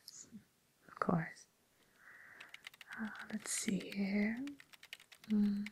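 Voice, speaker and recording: A woman playing a polite, attentive matchmaker for villains in a whispered ASMR roleplay, with gentle, hesitant, breathy delivery. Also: medium pitch, little pitch movement, very slow, a little hiss or hum, low-quality recording. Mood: politely helpful. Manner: gentle, hesitant, courteous customer-service delivery. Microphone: close microphone.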